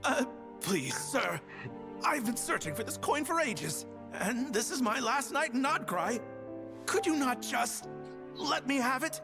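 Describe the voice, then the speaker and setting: An actor playing an anxious young male traveler in a video game, slow and wide-ranging in pitch, with game music behind. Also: lively intonation, exaggerated voice. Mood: pleading. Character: nervous young male traveler telling his tale. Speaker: game character.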